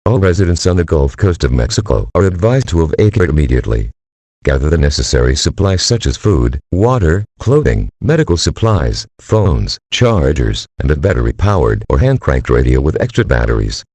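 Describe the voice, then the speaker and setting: A man's voice: extremely low pitch, sing-song, normal speed, darkish tone, breathy edge, no echo. A man's synthetic-sounding voice reading a formal emergency warning, stern and impersonal.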